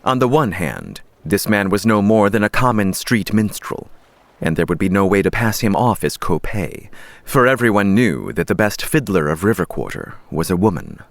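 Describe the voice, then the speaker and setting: A man with a deep voice narrates a fantasy audiobook. His delivery is measured and deliberate, shaped as dramatic storytelling. The recording is dry and close, with very little room sound. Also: very animated, no echo.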